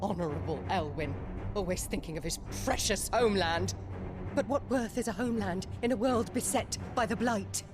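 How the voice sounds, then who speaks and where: A woman's voice: medium pitch, normal speed, over music, natural voice. An actress playing a bitter, haughty middle-aged noblewoman, speaking in imperious, old-fashioned diction in a dramatic game cutscene. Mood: cold disdain. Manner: imperious, archaic, theatrical diction. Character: haughty, bitter noblewoman mother obsessed with bloodline and status.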